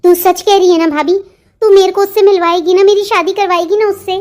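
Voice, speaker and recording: A woman's voice: very high voice, steady tone, a little fast, a little mellow. A woman voicing a sulky, defiant young bride in a melodramatic Hindi cartoon, talking back petulantly about her forced marriage. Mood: pleading.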